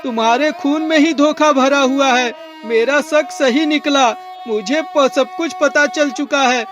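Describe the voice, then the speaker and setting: A man's voice: extremely high pitch, a little slow, fairly bright tone, soft background music. A man playing a suspicious, hot-tempered husband in a melodramatic cartoon moral story, speaking emphatically. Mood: angry accusing.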